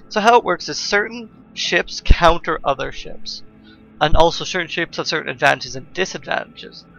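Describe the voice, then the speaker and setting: A man hosts a let's play in an informal, explanatory way. His voice sits a bit high and has a lot of creak, with faint noise in the background. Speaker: gaming video host. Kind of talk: casual let's play commentary.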